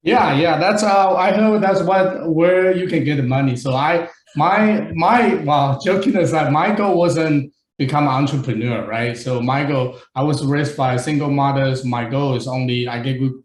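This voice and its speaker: A man's voice: fairly high pitch, moderate pace, hardly any pauses. Man in an echoey room. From Taiwan. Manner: relaxed, joking, slight grammar slips. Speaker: interview guest, investor.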